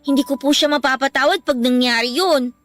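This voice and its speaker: A woman's voice: high voice, brisk, a bit bright, soft background music. A woman playing a respectful, devoted young student daughter in a Filipino radio drama, polite and earnest. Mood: contrite, worried.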